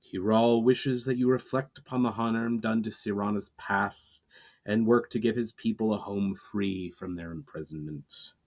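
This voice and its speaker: A man voicing a proud elderly orc woman, a calm clan leader who speaks slowly and formally in a storybook reading. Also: on the low side. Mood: solemn, formal.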